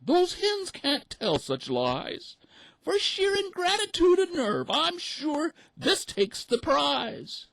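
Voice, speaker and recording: A man plays a fussy old mother duck who sounds forever scandalized, her shrill falsetto soaring high and loud. She drags her lines out slowly for effect. The sound is dry and close. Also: huge pitch swings, vocal fry, no echo, heavily acted. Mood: outraged. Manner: shrill outraged falsetto quacking.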